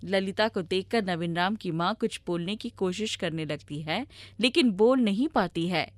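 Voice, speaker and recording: A woman's voice: mid-range voice, normal speed, no echo. A woman reading scripted storybook narration as the storyteller.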